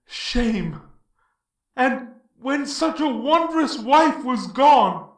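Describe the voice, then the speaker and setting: An actor playing a mighty, impassioned demigod hero in a Greek tragedy, declaiming archaic verse in a grand manner. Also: male voice, extremely high pitch, lively intonation, drawn-out words, full character voice. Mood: shocked, indignant. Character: mighty heroic demigod, grieved, indignant, then boldly resolute. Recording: roomy echo, distant from mic.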